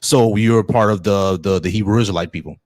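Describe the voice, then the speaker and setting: Low-voiced debate host, dry sound. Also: male voice, fairly quick, a little mellow, no room sound. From Haitian family background. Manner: interrupting, repeats words, says "hold on, bro". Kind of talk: call-in debate.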